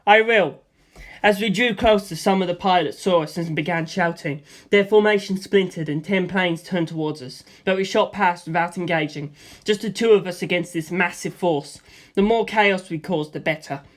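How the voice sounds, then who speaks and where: A man reading a first-person action story aloud in a lively way, voicing gunfire sound effects himself. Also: sits high, normal speed. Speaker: audiobook reader.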